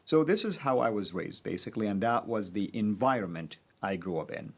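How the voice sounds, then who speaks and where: Low-voiced man with measured, hesitant delivery. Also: expressive, husky. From Saudi Arabia. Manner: measured, frequent pauses and fillers. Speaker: guest. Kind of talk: personal testimony in conversation.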